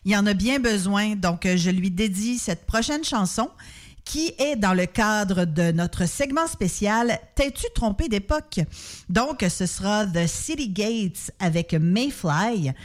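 A woman with a Québécois accent hosting a music radio show, chatty and informal, pausing often as she presents records. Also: mid-range voice, animated. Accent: Québécois French. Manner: chatty, informal, hesitant with many pauses. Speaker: radio host.

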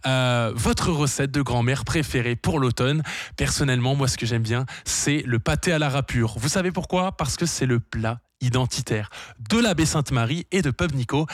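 A man from France hosting a casual radio show from a studio, chatting with listeners and often pausing on "euh". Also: mid-range voice, no room sound. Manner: chatty, lively, many fillers. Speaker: radio host.

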